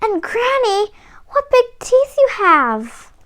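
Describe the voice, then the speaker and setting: Woman playing a little storybook girl, high-pitched, polite, trusting, innocent, lively singsong. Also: takes it slowly, full character voice. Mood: amazed. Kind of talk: storybook read-aloud character voice.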